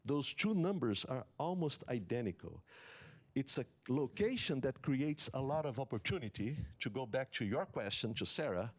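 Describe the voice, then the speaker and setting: A man's voice: mid-range voice, big pitch swings, moderate pace. Man giving a conference talk. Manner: earnest, explanatory, with many fillers and restarts. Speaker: economist giving a summit talk. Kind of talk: conference keynote lecture.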